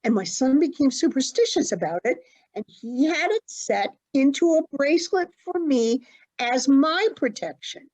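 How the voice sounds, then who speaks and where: A woman playing an elderly, forgetful, genteel mother in a stage play, telling her story in a dramatic, halting way. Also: high-pitched, expressive, a little mellow. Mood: earnest reminiscence. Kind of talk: stage acting in an online one-act play. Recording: dull lo-fi online call audio.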